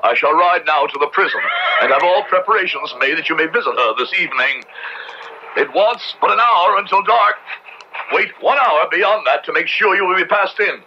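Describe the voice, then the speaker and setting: An actor playing a solemn, conscientious Puritan minister with measured, formal diction in an old-time radio drama. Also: male voice, high-pitched, expressive. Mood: earnest. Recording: dull, noisy old radio drama recording.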